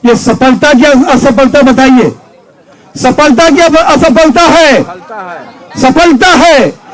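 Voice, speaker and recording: A male activist monk speaks at a public rally in a strikingly high voice. His delivery is slow and deliberate, declamatory and impassioned, built on repeated phrases and rhetorical questions put to the listeners. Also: a faint crowd, poor audio. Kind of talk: impassioned political rally speech.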